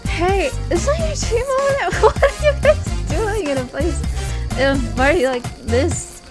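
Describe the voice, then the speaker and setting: A woman voicing a loud, cheery male animal tamer who shouts greetings at a party. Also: extremely high pitch, lively intonation, unhurried, over music, voice pushed up. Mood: excited.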